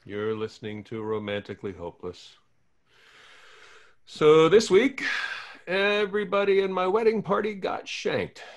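Man reading earnest lovesick radio host, lively pitch. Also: measured pace, pauses often. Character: lovesick awkward male radio host groom. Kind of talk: table read.

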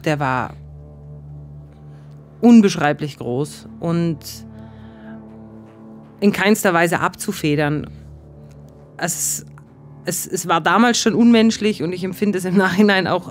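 Woman haltingly recalling, faint music behind. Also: lowish voice. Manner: halting, searching for words. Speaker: interviewee, former casting show contestant. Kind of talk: reflective interview recollection.

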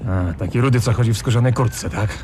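A man playing a hard, sardonic middle-aged tough guy in a radio play, deadpan, menacing and very raspy. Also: fast talker, music in the background, close to an everyday voice. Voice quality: low, very raspy. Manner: deadpan, menacing, matter-of-fact.

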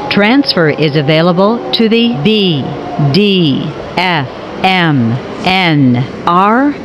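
A woman giving recorded train announcements in a measured, formal way. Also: lowish voice, lively intonation. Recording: dull, noisy recorded transit announcement.

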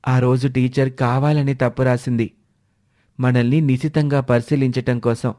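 A man voicing a kind, principled schoolboy who gently moralizes in a children's story. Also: medium pitch, level tone, normal speed, natural voice. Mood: calm admonishing.

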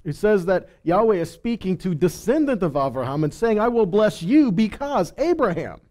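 An animated male preacher in his thirties, moving around a small stage with a headset mic. His voice sits fairly high for a man but has a dark, mellow tone. He shifts between teaching and reading scripture. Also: moderate pace. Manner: animated, gesturing while preaching, reads scripture aloud. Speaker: pastor. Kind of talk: sermon teaching. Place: small stage with purple lights, indoors.

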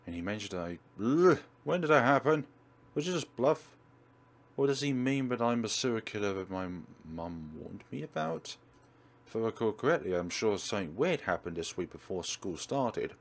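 Low-voiced, lively male let's player. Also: moderate pace. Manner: dramatic reading with exclamations. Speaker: let's play host. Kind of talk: reading a visual novel aloud with commentary.

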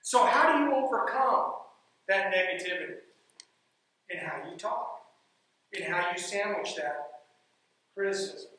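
A man's voice: high-pitched, a little slow, long pauses, pushed and bright. Man lecturing in an echoey hall. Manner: emphatic, repeats key phrases. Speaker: speaker on leadership and coaching. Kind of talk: stage talk to an audience. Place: dark stage in a large hall. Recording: echoey hall, heard from a distance.

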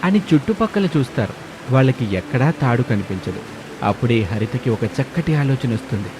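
A man's voice: medium pitch, lively intonation, a little fast, background noise. A man narrating a scripted moral story as a storyteller. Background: background music.

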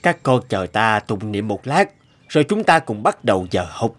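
A man voicing a calm, solemn elderly master of magic in an animated fairy tale, measured and authoritative. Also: lowish voice.

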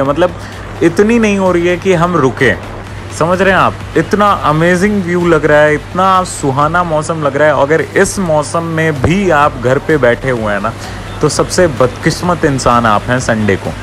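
A young man speaks in a fairly high voice. He chats to his viewers like an eager travel vlogger, encouraging and informal. Music plays clearly behind his outdoor recording. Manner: enthusiastic, urging. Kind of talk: casual vlog talk to viewers. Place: outdoors, on the road and by a waterfall.

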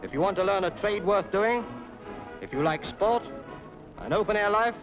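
British man, high pitched rousing old recruitment film narration, music behind, muffled vintage sound. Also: lively intonation, moderate pace. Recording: dull 1940s film soundtrack.

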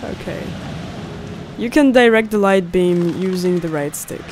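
A young woman gives casual, reactive gameplay commentary, swearing freely. Her pace is slow and she speaks close to a studio mic, with clear game ambience behind her. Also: on the low side, background noise. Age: young adult. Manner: reactive, exclamatory, profanity-laced. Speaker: gaming video host. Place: indoors at a home recording setup. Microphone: close studio condenser mic. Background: horror game ambience.

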